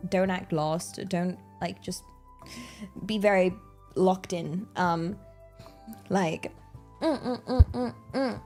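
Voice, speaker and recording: A young woman with a British accent talks through her thoughts on a livestream at an unhurried pace, full of hesitant fillers and restarts. Her tone is reflective and candid, with soft music playing faintly behind her. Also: on the low side. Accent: British English. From England. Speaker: streamer. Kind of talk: casual chat with live chat readers.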